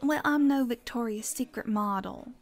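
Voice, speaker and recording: A woman's voice: a little high, moderate pace, close to an everyday voice. An actress playing a guarded, self-doubting single woman in her thirties, defensive and emphatic, in a conversational audio drama. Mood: defensive, resigned.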